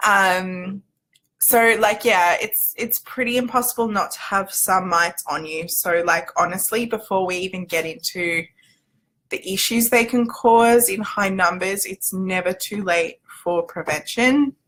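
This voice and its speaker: A woman's voice: mid-range voice, measured pace, a bit bright, low-quality recording. A woman with an Australian accent giving an informal livestream explainer as a company representative, in a chatty, loosely structured way.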